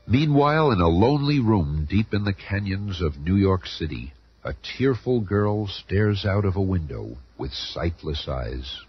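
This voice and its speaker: A man's voice: on the low side, slightly rough, heavily acted. A man narrating an adventure radio serial in a grave, melodramatic announcer style. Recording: noisy, muffled old radio recording.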